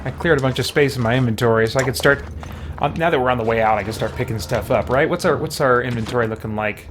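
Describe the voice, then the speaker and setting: A man's voice: mid-range voice, fast, fairly bright tone, a little hiss or hum. A man giving casual live commentary while playing a video game, thinking aloud and musing to himself as he goes.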